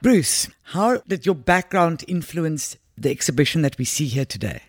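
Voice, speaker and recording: A woman's voice: sits low, expressive, moderate pace, dry recording, clean studio sound. A woman hosting a podcast, interviewing guests in a conversational style. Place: gallery space.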